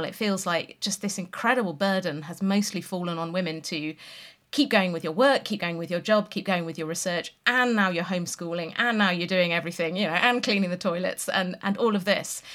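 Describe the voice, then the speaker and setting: A chatty woman interviewer puts questions to her guest. She talks conversationally and becomes more animated when she makes a point of her own. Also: fairly low pitch. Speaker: podcast interviewer.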